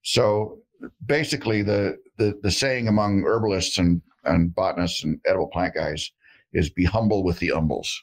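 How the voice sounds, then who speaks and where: Deep gravelly-voiced man, folksy and joking. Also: moderate pace, low-quality recording. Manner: folksy, joking, rambling with fillers. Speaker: herbalist guest. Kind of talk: casual interview talk. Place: indoor room with shelves of jars. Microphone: video call.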